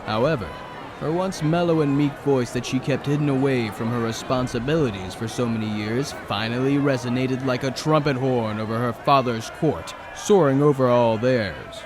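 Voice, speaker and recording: A man's voice: mid-range voice, moderate pace, a crowd in the background. Man narrating dramatically over noisy ambience. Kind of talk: scripted dramatic story narration.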